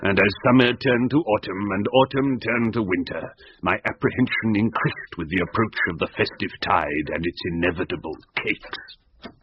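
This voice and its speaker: An actor playing a melodramatic, pompous British gentleman telling a mock-gothic horror tale in a radio comedy. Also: fairly low pitch, moderate pace, close to an everyday voice. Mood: grave, ominous. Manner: grave, pompous storytelling, formal florid phrasing. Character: Wellborn, melodramatic gentleman recounting a horror tale, easily panicked. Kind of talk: mock-gothic comic radio drama narration and acting. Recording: muffled vintage radio recording, faint noise.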